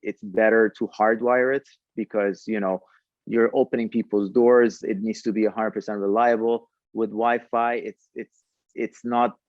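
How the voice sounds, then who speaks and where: Low-voiced man explaining his product. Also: little pitch movement, moderate pace, dry recording, highs cut off. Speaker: startup founder, podcast guest.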